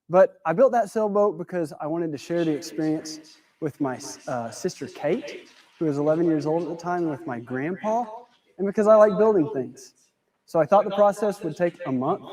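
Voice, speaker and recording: A man's voice: sits high, moderate pace, darkish tone. A man from West Texas with a West Texas accent, giving a prepared stage talk in a folksy storytelling manner with dry jokes. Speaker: student speaker. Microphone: handheld or stand mic on stage.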